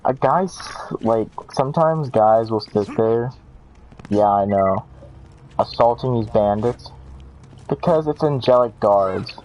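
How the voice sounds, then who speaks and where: A man's voice: medium pitch, slow, a little mellow, highs cut off, slight background noise. A man giving casual, rambling, self-deprecating commentary while playing a video game. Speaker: let's play gamer.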